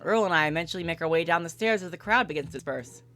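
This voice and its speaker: A woman's voice: on the low side, expressive, racing, a bit bright, faint music behind. A woman livestreamer reading a visual novel aloud and commenting casually.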